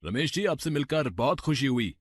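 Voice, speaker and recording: A man's voice: medium pitch, sing-song, moderate pace, breathy edge, no room sound, studio quality. A man playing a courteous, earnest young government officer in a story, speaking politely and formally. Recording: loud music under the voice.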